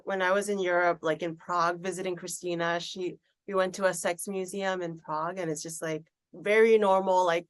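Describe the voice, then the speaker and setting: Low-pitched woman host, dry sound. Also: steady tone, normal speed, few pauses, no room sound. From Thailand. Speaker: podcast host. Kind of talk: casual podcast interview.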